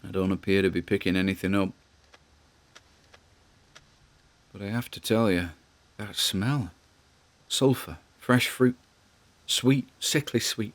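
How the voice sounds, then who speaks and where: A man's voice: fairly low pitch, normal speed, natural voice. A man playing a nervous, wry grandson who records audio logs, in a conversational, musing audio drama monologue.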